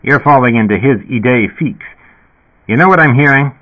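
A man's voice: medium pitch, moderate pace, pitched above the performer's own voice, natural voice. A man voicing a blunt, crude, skeptical male police officer. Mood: exasperated. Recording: tinny phone-like sound.

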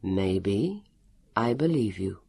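A man voicing a strong, relentless male interrogator in an audiobook, speaking calmly and politely in stilted, formal words. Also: on the low side, vocal fry, breathy edge, no echo, pitched below the performer's own voice, exaggerated voice. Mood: calm interrogation. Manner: calm, polite, measured, stilted formal wording. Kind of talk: audiobook character voice.

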